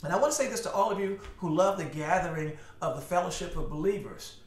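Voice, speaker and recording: A man's voice: high voice, fast talker, reverberant. A middle-aged man preaching a sermon from his home study into a desk camera, earnest and preacherly, repeating words as he builds emphasis. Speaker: pastor. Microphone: camera at desk, head and shoulders framing.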